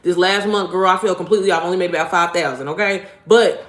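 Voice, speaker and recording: Young woman, deep voice, rapid, blunt and profane as an online coach; echoey room. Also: fairly bright tone. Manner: blunt, profane, slangy, addresses viewers directly. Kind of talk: casual motivational vlog talk.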